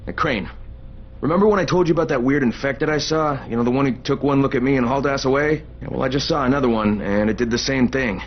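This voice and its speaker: A determined survivor from a zombie game cutscene, acted by a man. His pitch sits in an ordinary male range, and the sound is slightly dull with clear background noise. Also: fast talker, close to an everyday voice. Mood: businesslike. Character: gruff, determined action hero, adult survivor in zombie game. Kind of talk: video game cutscene acting.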